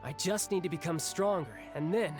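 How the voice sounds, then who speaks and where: An actor playing a cheerfully cocky, battle-hungry young warrior in a video game, casual and playful. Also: male voice, a little high, moderate pace, over music, natural voice. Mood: wry.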